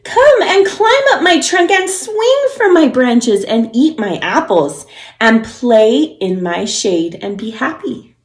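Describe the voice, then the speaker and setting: A woman voicing a gentle, loving female tree from a children's storybook, with an eager, coaxing manner. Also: a little high, very animated, slow pace, roomy sound. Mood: joyful, inviting.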